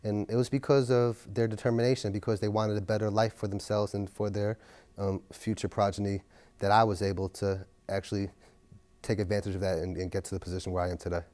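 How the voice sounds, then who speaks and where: A man, a city council member, speaking earnestly and at a measured pace in an interview. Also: fairly low pitch, flat delivery, darkish tone. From New York. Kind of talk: interview reflection.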